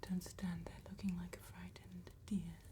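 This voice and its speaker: A woman playing a seductive, predatory female vampire tattoo artist in a breathy, intimate ASMR whisper, slow, teasing and flattering. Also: lowish voice, slight background noise, natural voice. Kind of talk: ASMR roleplay, soft spoken and whispered.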